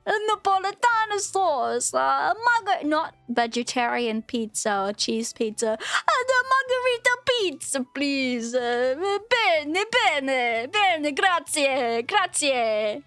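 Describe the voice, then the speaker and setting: A sing-song, theatrical diner ordering pasta and pizza with relish, as a woman hams it up. The voice sits high and bright, words stretched out. It is recorded up close and dry, with faint music underneath. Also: big pitch swings, slow, few pauses, no room sound, full character voice. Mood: playful. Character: woman hamming up an Italian restaurant order.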